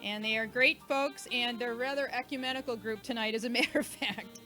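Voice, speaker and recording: A woman's voice: on the high side, fairly even intonation, a little fast, pushed and bright, soft background music. A woman hosting an event, giving an informal, chatty, joking thank-you speech to a live audience. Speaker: event host and organiser.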